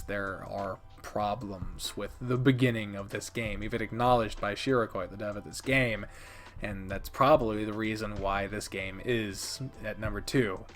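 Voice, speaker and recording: A man giving opinionated, conversational review commentary as a video creator, speaking emphatically with dramatic build-ups and casual swearing. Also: on the low side. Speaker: video creator ranking favorite games.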